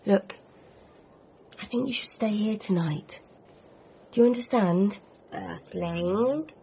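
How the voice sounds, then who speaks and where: A young woman playing a sensible, gently firm girlfriend in a radio comedy drama, caring and practical. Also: mid-range voice, takes it slowly, gravelly, husky, highs cut off, exaggerated voice. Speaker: doctor and girlfriend in the story.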